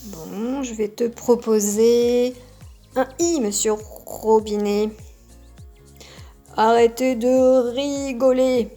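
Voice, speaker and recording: A woman teaching a kindergarten class by video, speaking gently and articulating clearly. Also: a little high, very slow, faint music behind. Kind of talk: teaching young children by video.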